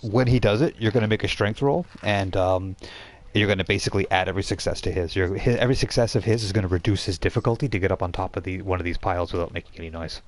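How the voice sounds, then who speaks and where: A man's voice: low-pitched, quick pace. A man running a tabletop game as game master, improvising narration and explaining rules in an informal way.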